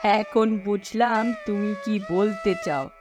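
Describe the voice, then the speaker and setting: A woman's voice: medium pitch, fairly even intonation, takes it slowly, music in the background, close to an everyday voice. A woman voicing an earnest, worrying husband and father in a cartoon story, with exclamatory delivery. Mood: relieved.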